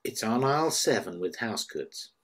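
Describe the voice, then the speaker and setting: A man acts out a plain-talking woman cashier at a screenplay table read. The voice is husky and lively in pitch, with a blunt, questioning manner. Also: normal speed. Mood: defensive. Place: indoor room at home, on a video call.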